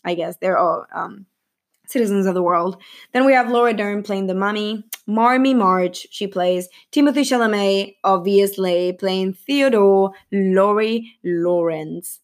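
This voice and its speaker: A woman's voice: medium pitch, a little slow. Chatty young woman rambling about films. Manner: rambling, chatty, with many fillers and self-corrections; giggly, self-deprecating. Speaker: film vlogger. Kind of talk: casual chat about a film's cast.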